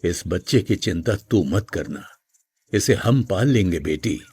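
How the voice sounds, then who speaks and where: A man voicing a concerned old village father with a rough, husky voice in a cartoon story. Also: low-pitched, lively intonation, unhurried, a little mellow, full character voice. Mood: concerned, persuading.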